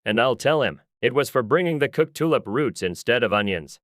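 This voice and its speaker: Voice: medium pitch, animated, a little fast, no echo, lightly acted. Narrator as a snappish, tattling gardener, dry sound. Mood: accusing. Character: bickering, tattling, indignant male gardener. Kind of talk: dramatized storybook reading.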